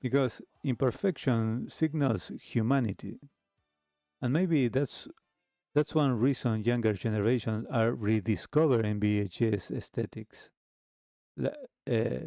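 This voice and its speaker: Slow-talking man hosting a reflective show. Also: mid-range voice, vocal fry, mellow, no room sound, studio quality. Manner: hesitant, halting phrasing with pauses. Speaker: host of a retro radio talk show. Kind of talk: reflective livestream monologue to listeners. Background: background music.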